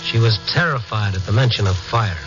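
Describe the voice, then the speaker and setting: An actor playing an inquisitive, kindly night-beat reporter in a radio drama, moving between brooding narration and hesitant, soothing dialogue. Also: male voice, lowish voice, fairly quick, over music, natural voice. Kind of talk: 1950s radio drama acting and first-person noir narration. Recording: muffled 1950s radio recording.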